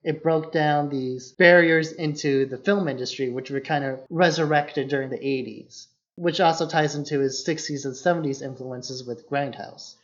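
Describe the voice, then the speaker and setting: A man's voice: a little high, steady tone, normal speed, few pauses. A man co-hosting a podcast, explaining film matters in an informal, casual discussion. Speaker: podcast co-host. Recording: dull, muffled lo-fi podcast audio.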